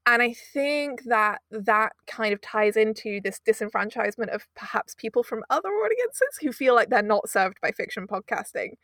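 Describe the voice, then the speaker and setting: A woman's voice: a little high, lively intonation, moderate pace, hardly any pauses, a bit bright, dry recording, studio quality. A woman, a guest producer in a conversational interview, making her points emphatically with rhetorical asides. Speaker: audio drama producer, guest.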